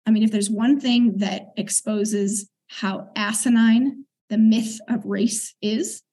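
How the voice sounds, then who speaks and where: A middle-aged woman, a podcast guest, earnestly and reflectively recounting her experiences and clearing her throat now and then. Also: a little high, steady tone, slow, dark tone. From United States. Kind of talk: conversational interview storytelling.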